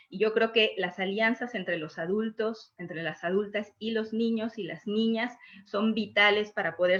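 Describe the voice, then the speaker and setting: A woman's voice: mid-range voice, fairly bright tone, highs cut off. A woman speaking reflectively and hesitantly as a panelist, often adding tag questions to check for agreement. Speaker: panelist who promotes reading with young children.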